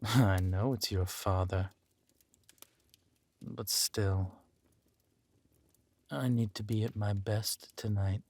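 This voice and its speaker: A man playing a ruthless, vengeful warlord in an audio roleplay, speaking deliberately and with menace. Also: on the low side, fairly even intonation, darkish tone, no echo. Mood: intimate, brooding. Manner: slow, deliberate, menacing delivery. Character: ruthless villain warlord lord, vengeful, possessive lover. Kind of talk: dramatic villain roleplay acting.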